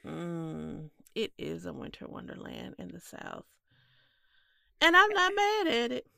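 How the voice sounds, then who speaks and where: A woman co-hosting a podcast in casual chat, with a stuffy nose and a relaxed, chatty manner. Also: fairly low pitch, a little slow, creaky, no echo, clean studio sound. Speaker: podcast co-host.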